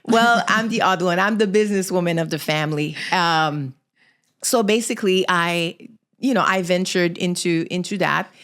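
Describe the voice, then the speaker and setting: Middle-aged woman, smooth clear tone, slightly low pitch, conversational storytelling. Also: moderate pace, fairly bright tone. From Haiti. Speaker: podcast guest, small business founder.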